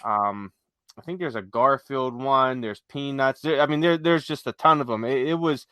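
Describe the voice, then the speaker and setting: A man co-hosting a casual podcast chat, halting as he searches for his words. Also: mid-range voice, bright, forceful tone, clean tone, no room sound, studio quality. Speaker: podcast co-host.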